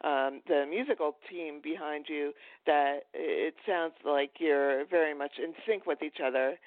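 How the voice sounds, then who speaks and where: Halting woman interviewer on a phone-like line. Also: deep voice, dry recording. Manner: hesitant, halting, meandering. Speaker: podcast host, interviewer. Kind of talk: casual interview chat. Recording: tinny phone-like line, dry.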